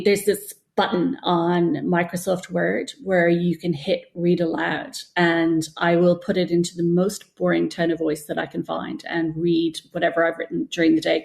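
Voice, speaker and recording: A middle-aged woman speaks as a guest in a relaxed, informal interview. Her voice sits on the low side with a clear gravelly fry. She chats loosely, working out her thoughts as she talks. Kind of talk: casual interview conversation.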